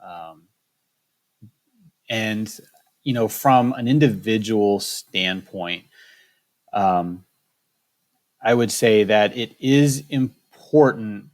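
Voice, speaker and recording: A man's voice: lowish voice, extremely slow, pauses often. A man speaking as a state wildlife biologist in a candid interview, choosing his words carefully with frequent restarts. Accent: Michigan.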